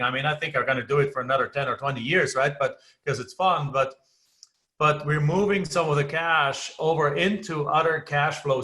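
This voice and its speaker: Bright-voiced man with a German accent. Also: a little high. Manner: talkative, frequent restarts and fillers. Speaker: guest investor. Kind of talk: casual podcast interview.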